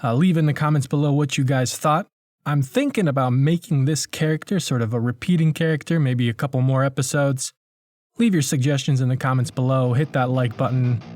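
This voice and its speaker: A man's voice: mid-range voice, brisk, darkish tone. A man speaking informally and a little self-deprecatingly as a video creator addressing his viewers in a casual outro.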